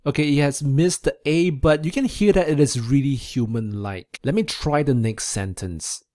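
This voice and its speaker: A man's voice: mid-range voice, moderate pace, warm tone. Young guy doing a chill screen demo review, close mic, just talking it through. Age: young adult. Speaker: software reviewer. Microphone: close desk microphone.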